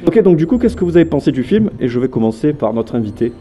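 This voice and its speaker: A man's voice: fairly high pitch, sing-song, fast, a crowd in the background. A man hosting a film vlog at a festival, talking loosely with many fillers and jokes. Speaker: vlog host. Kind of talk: casual film vlog chat.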